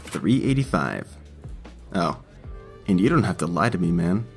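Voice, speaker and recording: Man playing a sleepy, low-voiced badger cashier. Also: sing-song, a little slow, creaky, faint music behind, heavily acted. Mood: mellow, friendly. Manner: lazy, casual stoner drawl. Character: sleepy, laid-back short male badger fast-food cashier. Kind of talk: audiobook character acting.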